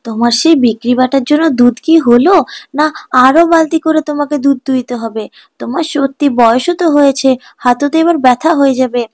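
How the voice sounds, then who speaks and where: A woman's voice: high-pitched, a little fast, darkish tone, lightly acted. A woman voicing an affectionate, eager young village grandson in a cartoon folk tale, chatty and coaxing. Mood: concerned, eager. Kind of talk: animated children's folk tale acting.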